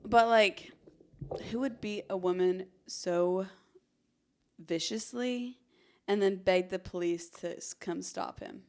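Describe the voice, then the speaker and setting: A woman's voice: medium pitch, takes it slowly, a little mellow. Chatty young American woman telling true crime. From Arizona, United States. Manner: informal, chatty. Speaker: podcast host. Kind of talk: conversational true crime storytelling.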